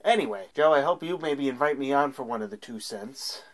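A man's voice: mid-range voice, lively intonation, a little fast. A young man with a stuffy cold, chatting casually to camera as a sports show co-host, with frequent filler sounds. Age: young adult. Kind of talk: casual solo segment to camera. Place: small room at home.

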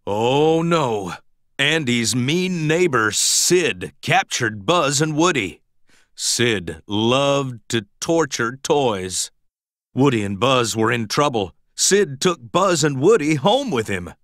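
A man reading a children's storybook aloud in an expressive, dramatic, emphatic storytelling manner. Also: medium pitch, slow, dry recording, studio quality. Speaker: storybook narrator.

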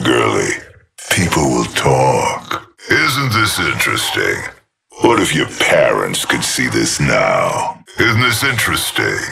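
A man's voice: pushed and bright, full character voice. A man voicing a sadistic, mocking robotic demon assassin in a video game, with a sinister growling drawl and a metallic edge. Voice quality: deep gravelly growl. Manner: slow, taunting, sinister drawl. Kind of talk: video game character voice lines.

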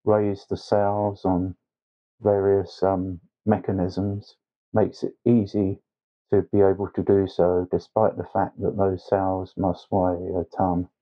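Male painting instructor, lowish pitch, slow hesitant step-by-step delivery, slightly muffled recording. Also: little pitch movement. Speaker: watercolour tutor. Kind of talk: instructional painting tutorial narration.

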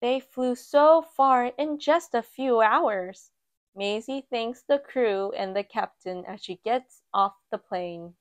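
A woman reading a children's picture book aloud in a gentle, expressive storytime voice. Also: a little high, dry recording. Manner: slow, gentle and expressive, lifting for quoted lines. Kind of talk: read-aloud storytime narration. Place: indoors at a table, book filmed from above.

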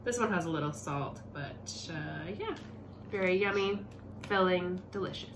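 Woman, 30s, slightly low voice, casual family vlog host; echoey room, noisy background. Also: lively intonation, normal speed. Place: indoor room at home.

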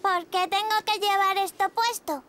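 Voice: very high-pitched, fairly even intonation, normal speed, sharp tone, a little hiss or hum. A performer voicing a small four-year-old boy in a cartoon, childlike, with a Castilian Spanish accent, eager and easily scared. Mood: worried. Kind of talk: cartoon dub acting.

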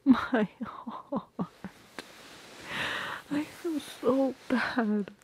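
A woman's voice: high voice, slight background noise, rough recording. A woman playing a tender, protective girlfriend in a romantic ASMR roleplay, whispering intimately and affectionately, very close to the microphone. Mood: surprised, touched. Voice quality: breathy, whispery. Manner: slow, intimate, affectionate murmuring. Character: tender, protective, doting girlfriend murmuring at bedtime.

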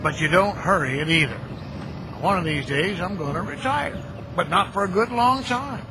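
Cheerful old cemetery caretaker, high-pitched, folksy and chatty, radio-play feel, noisy outdoor ambience behind. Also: male voice, animated, normal speed. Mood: easygoing. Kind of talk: audio drama acting.

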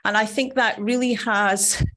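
A woman's voice: a little high, steady tone, measured pace, pushed and bright. A middle-aged woman from Scotland with a Scottish accent, giving a personal conference talk in a conversational, earnest manner. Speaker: nursing professor, conference speaker.

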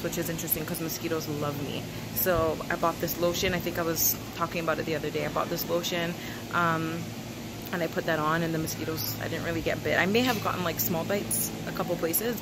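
A woman's voice: deep voice, very noisy. A young woman vlogging to a phone held at arm's length, talking casually with many ums and likes. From Canada. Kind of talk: casual vlog talk to camera. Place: outdoors on a porch and in a car.

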